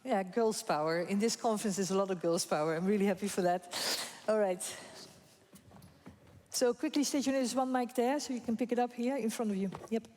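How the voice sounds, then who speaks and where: A woman's voice: medium pitch, fairly quick, warm tone. A woman moderating a conference panel, chatty and informal, laughing easily. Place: conference room with audience. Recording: reverberant conference hall.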